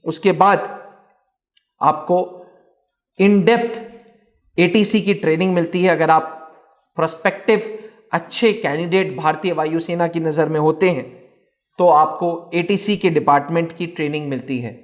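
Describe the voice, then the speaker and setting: A man presenting an educational explainer as an instructor, addressing students with emphatic, deliberate phrasing and repeating words for stress. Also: a little high. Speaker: instructor presenting a defence exam channel.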